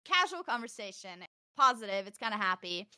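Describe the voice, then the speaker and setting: A young woman from Texas chatting on a podcast, loud and animated, telling stories fast with a close mic. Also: mid-range voice, smooth, no echo. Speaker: podcast guest, streamer. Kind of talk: casual podcast chat.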